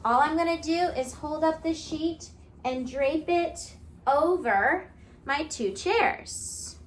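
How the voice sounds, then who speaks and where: A woman's voice: sits high, slow pace, slight background noise. A woman giving a step-by-step how-to demonstration for children, talking simply like a teacher and often checking in with little questions. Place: indoors at home.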